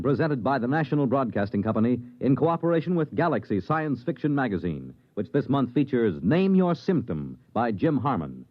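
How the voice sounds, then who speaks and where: A man's voice: medium pitch, no echo. Dramatic old-time radio announcer, man. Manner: formal, declamatory delivery. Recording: dull lo-fi 1950s radio with music.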